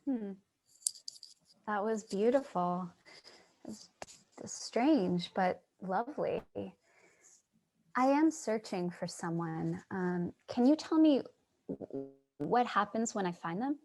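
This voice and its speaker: A woman's voice: mid-range voice, animated, slow pace, pauses often, a little mellow. An actress playing a thoughtful, hesitant, searching woman in a staged play reading over a video call. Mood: wistful.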